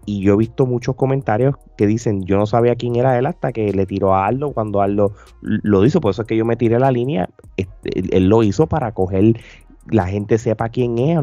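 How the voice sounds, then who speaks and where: A mellow-voiced man hosts a casual podcast. His voice sits at a middling pitch, and he talks conversationally, repeating phrases for emphasis. The sound is close and dry, with faint music behind him. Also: no echo. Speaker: podcast host. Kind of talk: casual reaction podcast chat. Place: indoor room, remote video call. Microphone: close desk podcast microphone with headphones.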